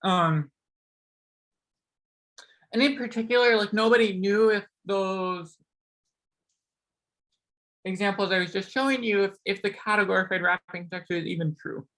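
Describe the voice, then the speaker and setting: A man's voice: sits high, normal speed, pauses often, a bit bright, low-quality recording. A young man with a slightly sore voice giving a casual math seminar talk, full of ums and likes. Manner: casual, many ums and likes, self-correcting. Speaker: seminar speaker.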